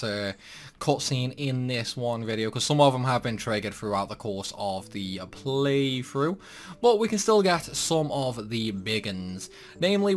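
A chatty man giving casual playthrough commentary, playfully talking to the game's characters. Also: mid-range voice. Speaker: gaming video host. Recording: music behind the voice. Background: game music.

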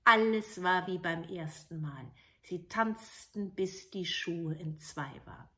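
Female storyteller, middle-aged, unhurried delivery, expressive fairy tale narration. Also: medium pitch. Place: indoors, living room with books.

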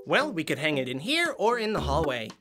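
A woman's voice: deep voice, sing-song, brisk, music in the background. A woman voicing a small fuzzy puppet friend in a kids' show, patient, sensible and encouraging as she explains things. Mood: helpful. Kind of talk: children's puppet show dialogue.

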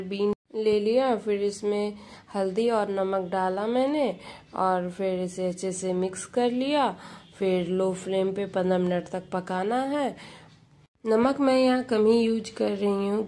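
A woman with a slightly creaky voice, presenting a recipe in voice-over as a home cook. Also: mid-range voice, dark tone.

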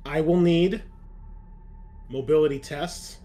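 A man's voice: on the high side, fairly even intonation, takes it slowly, dark tone. Blunt man running a game, noisy background. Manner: blunt, joking, swears casually. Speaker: game master and host. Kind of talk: casual tabletop game chat.

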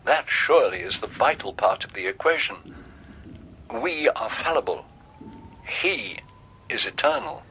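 Stern, sharp-voiced cardinal. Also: male voice, mid-range voice, big pitch swings, unhurried, gravelly, long pauses, lo-fi sound, heavily acted. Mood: righteous, dismissive. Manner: solemn, declamatory. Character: stern, dogmatic male cardinal, righteous and unbending. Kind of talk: radio drama acting. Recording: band-limited radio sound with background noise.

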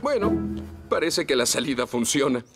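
An actor with a Latin American Spanish accent playing a friendly, responsible firefighter in a children's cartoon, helpful and instructive. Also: male voice, medium pitch, big pitch swings, moderate pace. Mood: businesslike. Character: friendly, responsible adult male firefighter hero. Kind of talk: animated children's series dub. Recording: loud music underneath.

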